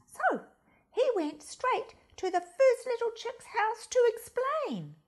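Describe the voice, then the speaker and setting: A woman storyteller reading a children's storybook expressively, with theatrical, deliberate phrasing. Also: very high voice, very animated. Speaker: storyteller, primary school reader.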